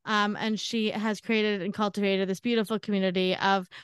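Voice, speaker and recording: A woman's voice: medium pitch, flat delivery, moderate pace, smooth, no room sound. A woman co-hosting a podcast, chatting in an upbeat way and introducing a guest. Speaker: podcast co-host.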